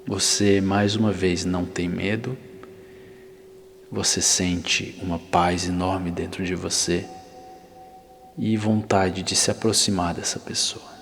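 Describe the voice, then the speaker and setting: A low-pitched man with a Brazilian accent leads a guided meditation. He speaks soothingly and deliberately, leaving long pauses between phrases. His voice rings out in a long reverb, with faint noise and ambience underneath. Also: level tone, vocal fry. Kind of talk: ASMR guided visualization, scripted narration.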